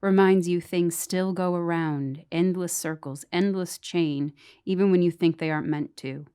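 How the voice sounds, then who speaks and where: Actress as low, subdued, brooding girlfriend. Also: fairly even intonation, moderate pace, warm tone, clear, resonant tone, natural voice. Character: bleak, resentful girlfriend narrating a ghost story. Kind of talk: first-person horror audio drama narration.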